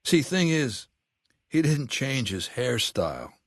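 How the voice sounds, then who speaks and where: An actor playing an imperious, cerebral middle-aged warlord in a video game, speaking with deliberate, disdainful authority. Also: male voice, mid-range voice, sing-song, dry recording, clean studio sound, full character voice. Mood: amused scorn. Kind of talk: video game character dialogue.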